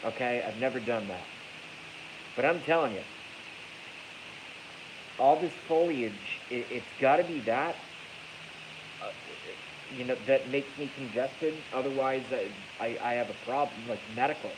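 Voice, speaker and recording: A man's voice: medium pitch, unhurried, long pauses. A man with a congested, stuffy voice delivers a casual, rambling livestream monologue, blunt and profane, repeating phrases for emphasis. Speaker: livestream host. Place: echoey garage at night. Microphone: iPhone, moved closer during the stream. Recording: hissy noisy iPhone recording.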